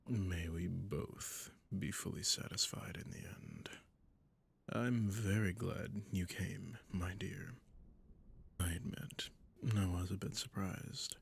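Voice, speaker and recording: Man acting a deep, slow, gravelly seductive vampire lord. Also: full character voice. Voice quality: low, breathy, gravelly. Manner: formal, courtly, teasing, drawn-out breathy murmurs. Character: suave sadistic male vampire lord, seductive and menacing. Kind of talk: intimate audio roleplay addressed to listener.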